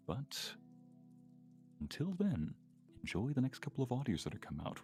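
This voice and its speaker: A man's voice: sits low, darkish tone. A man chatting casually as a guest voice actor on a livestream.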